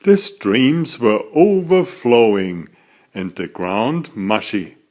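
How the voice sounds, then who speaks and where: A man reading a children's story aloud as its author, in an expressive, deliberate storytelling manner. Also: on the low side.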